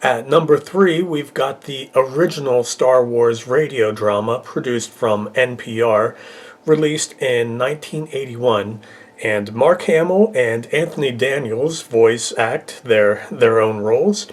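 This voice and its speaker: A man's voice: mid-range voice, unhurried. A middle-aged man hosting a casual top-ten review video, talking informally. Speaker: vlog host, reviewer.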